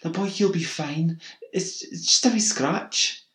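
A man voicing an older woman, a retired nurse and fussing neighbour, in a high, strained voice. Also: fairly even intonation, brisk, close to an everyday voice. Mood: soothing. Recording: roomy echo.

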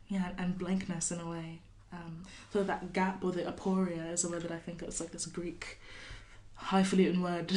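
Low, even-voiced woman poet. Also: a little hiss or hum. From California. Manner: thoughtful, hesitant with many fillers, laughs often. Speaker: poet guest. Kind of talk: podcast interview with poetry reading.

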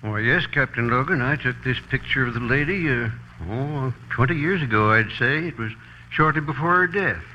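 Bright-voiced, genial small-town old-timer. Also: male voice, medium pitch, moderate pace. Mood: helpful, reminiscing. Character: genial, older small-town male photographer, reminiscing. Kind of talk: 1940s radio drama acting. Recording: dull, faintly noisy vintage radio.

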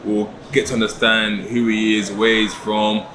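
A man hosting an interview and asking relaxed questions. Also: lowish voice, moderate pace. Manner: relaxed, asks questions, uses fillers. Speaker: podcast host. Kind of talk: casual interview. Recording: echoey, noisy room.

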